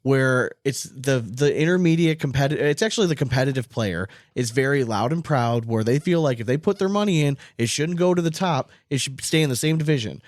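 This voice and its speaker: A man's voice: medium pitch, fairly quick, hardly any pauses, dry recording, clean studio sound. A man hosting a casual sports podcast, talking emphatically and opinionatedly and swearing freely. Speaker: podcast host.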